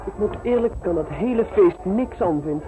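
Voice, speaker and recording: High, petulant young snob. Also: male voice, animated, normal speed, low-quality recording. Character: snobbish, sulky young upper-class man. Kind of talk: radio play acting. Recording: thin band-limited radio sound, music behind.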